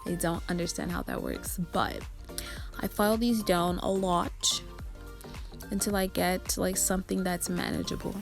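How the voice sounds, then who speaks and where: A young woman with a raspy, just-woken voice, rambling and self-critical as she narrates a vlog into her phone. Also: fairly low pitch, huge pitch swings, normal speed, faint music behind. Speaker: nail art vlogger. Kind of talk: casual voice-over narration.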